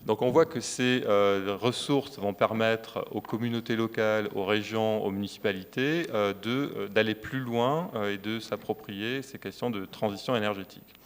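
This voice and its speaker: A man speaking as an economist on a conference panel, measured and hesitant, with frequent filler pauses. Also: lowish voice, clear, resonant tone.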